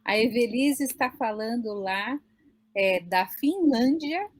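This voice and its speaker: A woman's voice: a little high, lively intonation, takes it slowly, no room sound. A Brazilian woman in her forties hosting a live online chat in a friendly, talkative way, often adding "né?". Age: 40s. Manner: friendly, chatty, many "né?" tags. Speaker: host. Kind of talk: casual live-stream chat.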